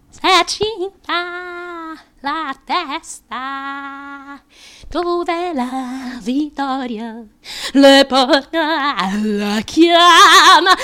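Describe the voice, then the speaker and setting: A woman's voice: sits high, bright, forceful tone, full character voice. An actress playing a desperate, ravenous young woman full of self-loathing, in a feverish, obsessive theatrical monologue for radio. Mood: anguished, defiant singing. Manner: feverish, obsessive, incantatory repetition.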